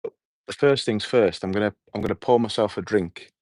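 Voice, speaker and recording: A middle-aged man with a hoarse voice, hosting a relaxed, rambling evening livestream outdoors and chatting with his viewers. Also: fairly low pitch, normal speed, no echo, clean studio sound. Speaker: livestream host. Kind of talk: casual evening livestream chat. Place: outdoors at night.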